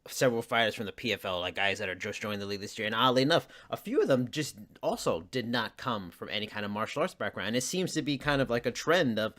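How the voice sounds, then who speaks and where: A man leads a casual interview in an even, mid-pitched voice. His questions are friendly, and he often stumbles and restarts his sentences. He laughs along with his guest. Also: fast, hardly any pauses. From United States. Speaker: interviewer, host.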